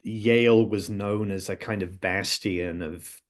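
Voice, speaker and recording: Older guy, really low voice, telling career stories on a video call from his office. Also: slow pace. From England. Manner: relaxed storytelling, joking asides. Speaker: music theory professor. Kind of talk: casual interview. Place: office, indoors. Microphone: video call, low-angle webcam.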